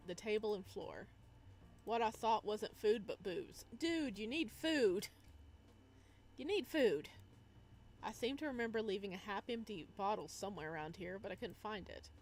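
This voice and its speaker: A woman playing through a visual novel, reading narration aloud and breaking in with casual, playful, teasing commentary. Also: mid-range voice. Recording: noticeable background noise.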